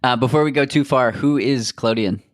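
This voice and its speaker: A man hosting a podcast interview in casual chat, relaxed and halting, with many fillers and restarts. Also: medium pitch, dry recording, clean studio sound. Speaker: podcast host.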